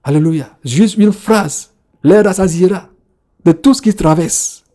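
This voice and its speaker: A man's voice: high-pitched, measured pace, dark tone. A man, repeating phrases and punctuating them with hallelujah. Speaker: preacher. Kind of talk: sermon.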